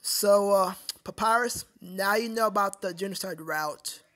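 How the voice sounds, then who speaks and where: Halting, casual livestream host. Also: mid-range voice, fairly bright tone. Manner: halting, repetitive, addresses viewers as sir. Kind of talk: casual livestream chat with viewers.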